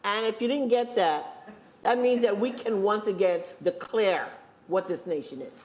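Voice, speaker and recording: A woman speaking on a panel, making her points with emphatic repetition and deliberate slow stresses. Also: medium pitch, lo-fi sound, noisy background. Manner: emphatic, repeats phrases, stresses points slowly. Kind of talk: impassioned panel talk. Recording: echoey room.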